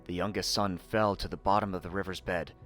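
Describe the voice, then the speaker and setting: A man narrating a fairy tale in an expressive, theatrical storytelling manner. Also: sits low, a little fast, soft background music.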